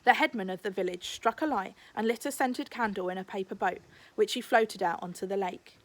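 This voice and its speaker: A woman's voice: mid-range voice, a little fast. A woman narrating a children's storybook aloud, like a teacher reading to a class.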